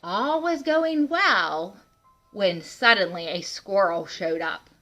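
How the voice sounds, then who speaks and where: Bright-voiced woman reading unhurriedly to children. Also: medium pitch, slow pace, soft background music. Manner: animated, asks listeners questions. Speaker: read-aloud host for children. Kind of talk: expressive children's picture book read-aloud with asides.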